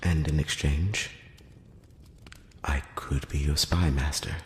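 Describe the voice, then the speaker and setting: A man playing a courteous, curious elf prince in an intimate ASMR fantasy roleplay, speaking softly and formally with some hesitation, close to the mic. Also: rumbling low, fairly even intonation, slow pace. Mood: gently curious. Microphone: close-up microphone. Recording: roomy long reverb, background hiss.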